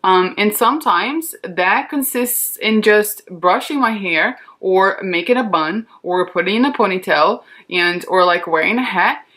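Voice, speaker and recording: A woman's voice: mid-range voice, expressive, a little slow, hardly any pauses, bright, forceful tone. A woman in her thirties from Brazil, a Portuguese speaker, chatting in a candid, reassuring, informal way like a beauty vlogger. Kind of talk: casual chatty makeup tutorial.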